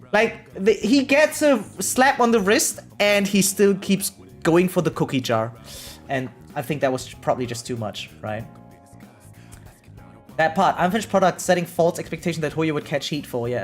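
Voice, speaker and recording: A man who streams games, giving informal, emphatic livestream commentary and often checking for agreement. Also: fairly high pitch. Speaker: variety game streamer, host. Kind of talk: casual livestream commentary reacting to chat.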